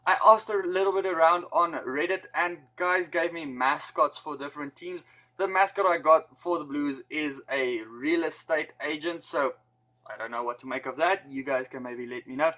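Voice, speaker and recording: A man's voice: moderate pace, bright, forceful tone. A man with a South African accent, talking casually as a rugby vlogger and slipping in joking asides. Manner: chatty, joking asides, slightly higher-pitched delivery. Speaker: rugby YouTuber. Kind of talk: casual explainer vlog.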